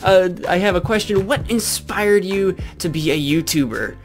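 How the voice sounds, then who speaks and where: A man's voice: sits high, moderate pace. A man in his twenties with a Midwestern American accent, chatting casually to camera as a channel host in a rambling, self-correcting way. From Illinois, United States. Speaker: YouTube gaming channel host. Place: small indoor room with green screen backdrop. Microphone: desk microphone with pop filter, close.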